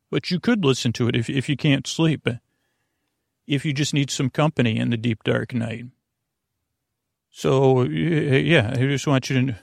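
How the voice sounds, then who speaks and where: Gravelly, meandering man hosting a sleep podcast. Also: medium pitch, no room sound, clean studio sound. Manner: meandering, halting, trails off and circles back. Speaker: podcast host. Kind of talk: rambling bedtime sleep-podcast monologue.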